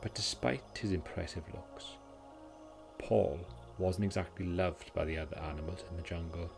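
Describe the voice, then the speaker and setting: A Welsh man gently narrating a scripted bedtime story in a soothing, calming way, as its host. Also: low voice, moderate pace, creaky. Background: music.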